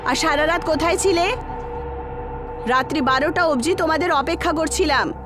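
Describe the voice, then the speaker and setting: A woman voicing a concerned, brisk landlady in a cartoon horror story. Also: high-pitched, lightly acted. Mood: worried questioning. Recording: background music under the voice.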